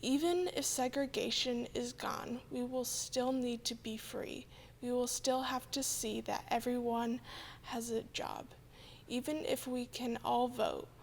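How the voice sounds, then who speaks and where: A woman's voice: fairly high pitch, flat delivery, measured pace, vocal fry, slight background noise. A woman reading a historic letter and quotations aloud as a scripted recitation. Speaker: church member reading quotes aloud.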